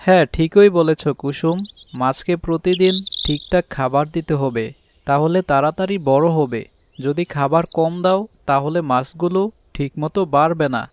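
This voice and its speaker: A man acts out a cartoon bird husband with a fairly high voice. He explains in a measured, fair, instructive way for a children's moral story. Light noise with birds and crickets sits behind him. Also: lightly acted. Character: husband bird with two wives, advising and fair.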